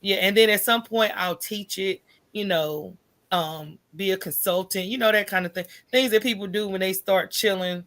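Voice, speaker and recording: A woman's voice: mid-range voice, moderate pace, a bit bright. Casual American woman chatting as guest. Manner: informal, colloquial, checks in with "right?" and "you know". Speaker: podcast guest, coffee business owner. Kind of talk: casual conversational interview answers.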